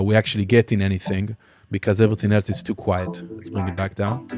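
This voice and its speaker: Male music production instructor, casual and explanatory, mid-pitched voice, clear music behind the voice. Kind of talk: screen-recorded software tutorial, informal walkthrough.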